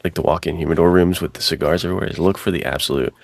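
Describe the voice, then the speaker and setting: A man's voice: low-pitched, fairly even intonation, very fast, creaky, no room sound. A man in casual podcast conversation, co-hosting in a jokey, slangy way. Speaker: podcast co-host. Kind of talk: casual chat.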